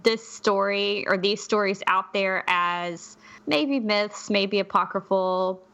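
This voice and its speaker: A woman's voice: mid-range voice, takes it slowly, sharp tone, muffled recording. A woman co-hosting a podcast, telling stories in a chatty, candid way with frequent asides. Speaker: podcast co-host. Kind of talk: conversational storytelling with notes.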